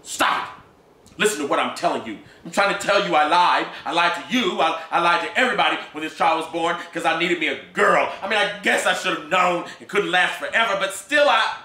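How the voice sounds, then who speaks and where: A man's voice: fairly quick, pushed and bright. A man doing a pleading, frantic mother. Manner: high, pleading, breaking with distress. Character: pleading desperate Southern mother, middle-aged woman. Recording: echoey room, faint hiss.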